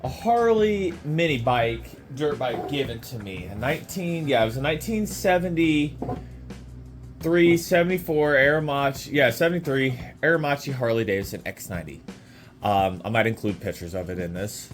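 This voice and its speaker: Man, sore throat, slightly off voice, loose rambling garage-vlog delivery, mid pitch. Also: animated, moderate pace, faint music behind. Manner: rambling, with many fillers and restarts. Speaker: motorcycle restoration vlogger. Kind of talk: casual walkaround vlog. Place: home garage.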